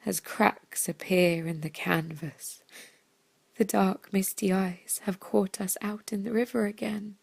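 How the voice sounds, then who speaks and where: A woman reads a poetic monologue with theatrical deliberation. Her delivery is slow and broken into short phrases, with a strong creak in a medium-pitched voice. The sound is dry. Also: steady tone, a little mellow, dry recording. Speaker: reader of a theatre piece. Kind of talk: dramatic poetic monologue reading.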